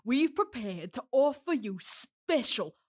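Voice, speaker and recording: A woman's voice: high voice, very animated, takes it slowly, full character voice. A woman playing an eager, pushy magical museum keeper in a family audio drama, showy and salesman-like, bossy with companions. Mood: fawning, coaxing.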